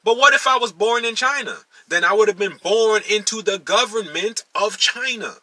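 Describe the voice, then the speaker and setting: A man's voice: high-pitched, normal speed, pushed and bright, dry recording. A man from Maryland giving an instructional monologue to his followers. He talks emphatically, repeats key phrases and calls his listeners y'all. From United States, Maryland. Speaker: teacher addressing followers.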